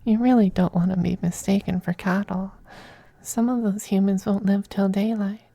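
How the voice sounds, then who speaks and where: A performer voicing a possessive, androgynous male vampire master in an ASMR roleplay, soft, breathy and often whispering, coaxing and condescending. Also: medium pitch, fairly even intonation, no room sound. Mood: silky, anticipating. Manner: slow, purring, coaxing, condescending. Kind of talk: ASMR roleplay, intimate scripted monologue.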